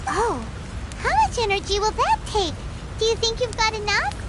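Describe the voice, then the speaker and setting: A woman voicing a tiny, excitable floating companion in a video game, with a squeaky, bouncy and exclamatory way of talking. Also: normal speed. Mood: cheerful. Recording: loud noise and game music.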